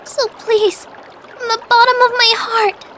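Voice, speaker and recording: An actress voicing a caring, polite teenage younger sister in a video game, with an earnest, proper way of speaking. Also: female voice, extremely high pitch, level tone, slow pace, noisy background. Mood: upset, pleading. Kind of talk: video game cutscene voice acting. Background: trickling water ambience.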